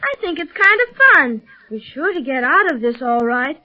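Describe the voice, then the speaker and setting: Sweet plucky little girl, very high voice, hopeful, dull old radio show. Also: animated, normal speed. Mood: cheerful. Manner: bright-hearted, hopeful. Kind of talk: 1930s radio serial acting. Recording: muffled 1930s radio recording.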